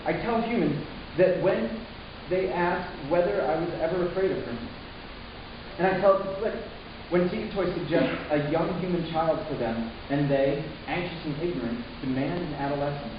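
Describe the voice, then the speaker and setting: A man's voice: fairly high pitch, normal speed, highs cut off, background noise, lightly acted. Echoey, reflective young son narrating, played by a man. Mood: matter-of-fact. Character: reflective young human son recounting his family's past. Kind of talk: scripted dramatic narration. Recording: echoey, distant, music underneath. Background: music.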